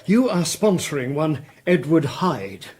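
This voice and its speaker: An actor playing an earnest, forthright Victorian gentleman in a gothic radio drama, talking formally and insistently. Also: on the high side, sing-song, unhurried, a little hiss or hum. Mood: earnest, urgent. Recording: music under voice.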